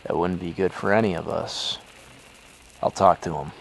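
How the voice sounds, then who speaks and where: An actor playing a well-to-do young speakeasy proprietor in an old time radio drama. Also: male voice, deep voice, fairly even intonation, normal speed, gravelly, a little hiss or hum, low-quality recording. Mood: calm, concerned.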